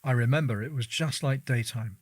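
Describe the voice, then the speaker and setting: A man reads the part of an elderly migrant looking back on his life for a news feature. The delivery is measured and reflective at a middling pitch, with a very dry sound free of room echo. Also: slightly rough, natural voice. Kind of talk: reading quoted testimony in a news feature.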